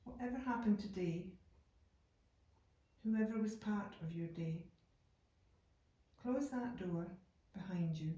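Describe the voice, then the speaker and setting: Calm lady doing a slow guided meditation, soft mellow tone, really echoey and a bit far from the mic. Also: mid-range voice, muffled recording. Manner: calm, unhurried, soothing, with deliberate pauses. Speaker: yoga nidra meditation guide. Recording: echoey room, distant from microphone.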